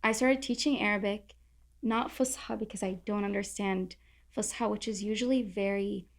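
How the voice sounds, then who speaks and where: Woman, young adult, from southern california, gravelly edge, chatty, self-deprecating. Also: medium pitch, steady tone, moderate pace. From Orange County, California. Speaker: content creator, podcast guest. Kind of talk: casual roundtable conversation.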